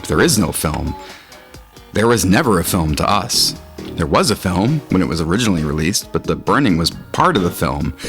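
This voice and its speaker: A man with a low voice reading scripted literary fiction as a narrator. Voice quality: low-pitched. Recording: music under the voice. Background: guitar music.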